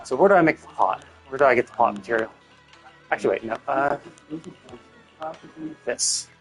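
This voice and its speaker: A man's voice: medium pitch, animated, moderate pace, faint music behind. A man doing casual live game commentary, thinking aloud in a rambling way and swearing casually.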